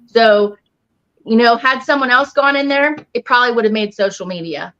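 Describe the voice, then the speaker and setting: A woman's voice: a little high, moderate pace, a bit bright. A woman speaking earnestly and emphatically in a casual panel talk, as a paranormal investigator and healer. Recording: dull, lo-fi online audio.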